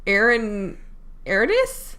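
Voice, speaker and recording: A woman's voice: mid-range voice, wildly swooping pitch, slow pace, sharp tone. A woman co-hosting a casual gaming podcast, hesitant and giggly, often stumbling and trailing off as she talks. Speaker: podcast co-host. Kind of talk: casual chat.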